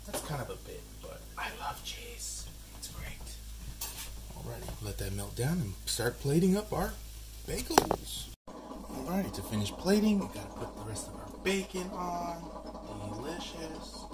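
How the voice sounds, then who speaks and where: A man's voice: a little high, sing-song, measured pace, heavy background noise. A young man chatting casually as he cooks breakfast in his home kitchen, upbeat and joking at his own mistakes. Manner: upbeat, joking, self-deprecating. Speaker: home cooking vlogger. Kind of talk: casual cooking chat. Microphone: camera mic, handheld and tripod. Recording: home kitchen with sizzling in the background. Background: sizzling pan and kitchen sounds.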